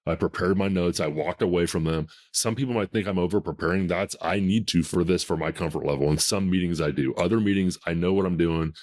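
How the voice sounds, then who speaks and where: Deep-voiced man in his fifties, blunt. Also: fairly quick, hardly any pauses, darkish tone. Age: 50s. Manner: blunt, emphatic, self-assured. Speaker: podcast host. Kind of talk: casual podcast conversation.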